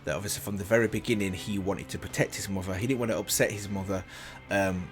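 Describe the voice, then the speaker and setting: Enthusiastic man reacting to a game. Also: deep voice. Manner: enthusiastic, keeps saying "obviously". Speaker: video reactor. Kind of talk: casual reaction commentary.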